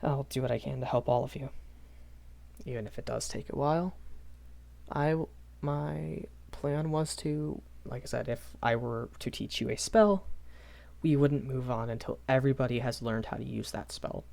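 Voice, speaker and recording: A man's voice: mid-range voice, fairly even intonation, moderate pace, gravelly, darkish tone, lightly acted. A young man playing a shy, kindly magic academy professor in a rambling audio roleplay, stammering and hesitant. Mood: earnest, reassuring. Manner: hesitant, stammering, nervous chuckles, apologetic.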